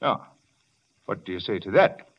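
An actor playing a blustery, nosy older neighbor in a 1940s radio play, talking in a folksy, coaxing brogue. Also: male voice, lowish voice, sing-song, moderate pace, creaky, slightly rough, exaggerated voice. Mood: insistent. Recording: dull lo-fi old radio broadcast.

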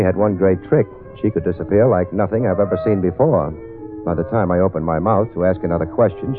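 An actor playing a hard-boiled, world-weary private detective in a vintage radio drama, sardonic and clipped. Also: male voice, low voice, close to an everyday voice. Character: wry hard-boiled male private detective, narrating his case. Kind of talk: 1940s-50s radio detective drama acting and narration. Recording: tinny old radio recording, music underneath.

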